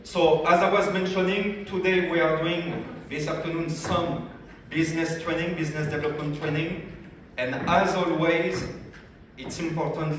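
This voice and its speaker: A man's voice: fairly high pitch, slight background noise. French-accented man in an echoing hall. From France. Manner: energetic, addresses audience directly with questions. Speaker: business development director. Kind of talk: convention training talk. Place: large convention hall. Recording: echoey hall, speaker far from mic.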